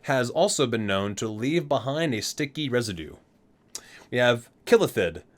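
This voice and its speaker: A man's voice: medium pitch, expressive, moderate pace. A man hosting a gaming ASMR video, reading entries aloud and dropping into casual, amused asides.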